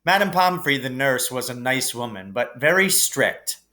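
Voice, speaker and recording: A man's voice: medium pitch, very animated, moderate pace, pushed and bright. A man reading a children's novel aloud in scripted storybook narration. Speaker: reader. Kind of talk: audiobook-style storybook narration.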